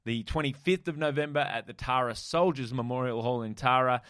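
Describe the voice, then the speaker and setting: A man's voice: medium pitch, steady tone, brisk, fairly bright tone, clean tone, dry recording, clean studio sound. A man hosting a comedy podcast, laid-back and full of fillers as he chats with a guest in a motel room. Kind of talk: casual podcast chat.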